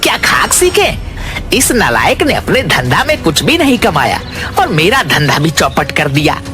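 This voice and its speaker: A man's voice: fairly high pitch, huge pitch swings, normal speed, music in the background. A man voicing a greedy, scornful father who sells sweets in a village, in a Hindi cartoon. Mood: angry, scornful. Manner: grumbling, scornful. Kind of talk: Hindi moral-story cartoon acting.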